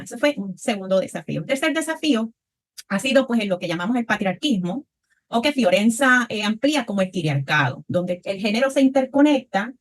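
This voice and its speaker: Puerto Rican woman panelist, thoughtful and steady, voice on the high side. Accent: Puerto Rican Spanish. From Puerto Rico. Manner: reflective, frequent tag questions. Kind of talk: panel discussion answer.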